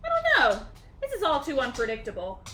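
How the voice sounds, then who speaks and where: A woman's voice: high voice, big pitch swings, moderate pace, soft background music. A woman doing a fussy, bewildered husband.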